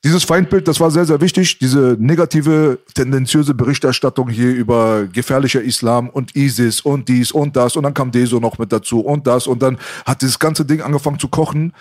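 A man's voice: mid-range voice, brisk, hardly any pauses, darkish tone. A man, originally from Iran, hosting a casual chat and telling stories in street slang. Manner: talkative storyteller, street slang.

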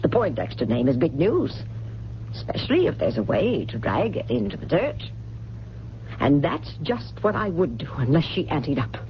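An actress playing a hard, scheming young mistress in a radio mystery drama, blunt, slangy and coldly coaxing. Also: female voice, deep voice, big pitch swings, normal speed. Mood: cold, threatening. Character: hard, scheming young mistress pushing her lover to murder. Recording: dull, hissy 1970s radio recording.

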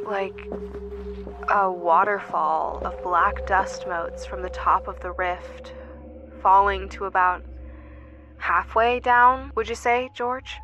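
A woman's voice: mid-range voice, fairly even intonation, slow, muffled recording, noisy background, close to an everyday voice. A woman playing a wry, pragmatic field investigator in a naturalistic audio drama, speaking informally. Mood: wry, focused.

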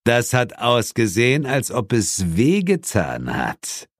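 A man's voice: mid-range voice, wildly swooping pitch, slow, dry recording, clean studio sound, full character voice. A man voicing the devil as a sneering, mock-friendly villain in an audiobook. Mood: mock sympathy. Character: the devil, mocking male arch-enemy with a bleating laugh.

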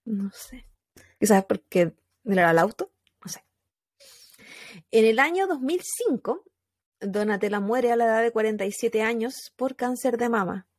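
A woman with a Chilean accent telling a true crime story in casual podcast chat, animated, with fillers and laughs. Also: fairly high pitch, moderate pace, long pauses, no echo, clean studio sound.

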